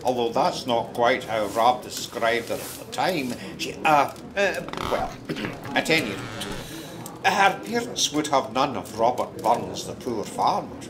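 An actor playing a wry, knowing Scottish narrator in a radio drama, conversational with hesitant asides. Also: male voice, mid-range voice, expressive, slow pace, a crowd in the background.

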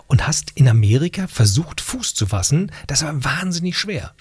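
Man, middle-aged, radio host, very husky, animated pitch, teasing, probing, casual interview. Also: normal speed, no room sound. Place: small radio studio. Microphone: close studio broadcast mic on boom arm.

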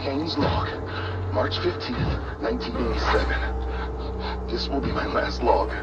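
An actor playing a weary, awestruck scientist dictating a dramatic lab log for a game, slow and deliberate. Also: male voice, medium pitch, natural voice. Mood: grave, resigned. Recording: muffled lo-fi tape under loud music.

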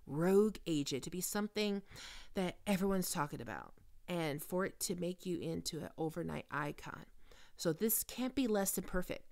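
Woman acting a creaky, low-voiced flirty Hollywood starlet. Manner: teasing, confiding, conversational. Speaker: ASMR roleplay performer. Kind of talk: intimate ASMR audio roleplay, one-sided conversation.

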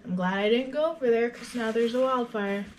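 A woman's voice: a little high, brisk, a little hiss or hum. A young woman chatting casually to her camera in her kitchen, rambling and retelling conversations. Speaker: vlogger. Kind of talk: casual vlog chat. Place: small home kitchen. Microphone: camera on a counter in front of her.

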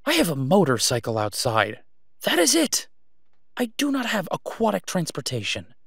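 A man's voice: on the high side, wildly swooping pitch, normal speed, vocal fry, a bit bright, slightly rough, dry recording, clean studio sound, exaggerated voice. A man playing a sardonic, exasperated but protective vigilante antihero in an audio roleplay, with a weary, clipped manner. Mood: exasperated, outraged. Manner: sarcastic, weary, clipped, emphatic when irritated. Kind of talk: solo audio roleplay acting, conversational.

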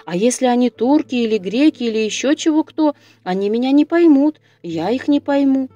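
A woman's voice: high-pitched, expressive, a little fast, warm tone, no room sound, dull audio. A woman voicing a puzzled, wary young peasant castaway in a storybook tale, with a wondering, questioning manner.

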